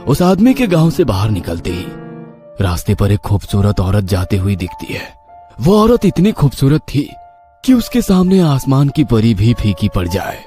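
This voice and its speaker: A man's voice: mid-range voice, big pitch swings, moderate pace, warm tone. A man narrating a scripted horror story in a dramatic, suspenseful manner. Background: background music.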